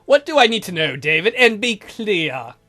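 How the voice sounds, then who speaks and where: A woman voicing a stern male count in a visual novel, measured, imperious and coolly probing. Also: mid-range voice, very animated, bright, forceful tone. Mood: commanding. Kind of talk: visual novel read aloud in character.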